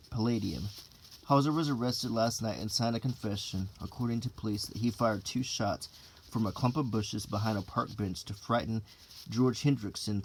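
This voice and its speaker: Low-ish guy reading old stuff out loud asmr style, kinda low-key, bit of noise behind him. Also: rough recording. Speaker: host reading an old newspaper aloud. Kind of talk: unintentional ASMR, unscripted read-aloud with casual asides.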